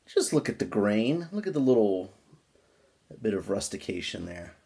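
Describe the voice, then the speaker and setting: A man speaks in a somewhat low voice with an informal, folksy manner. He takes his time, as in a homemade video where someone chats casually and reads a book aloud. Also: very animated, normal speed, vocal fry, warm tone. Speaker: pipe-smoking video creator reading a book aloud. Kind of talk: casual chat, then reading a book aloud.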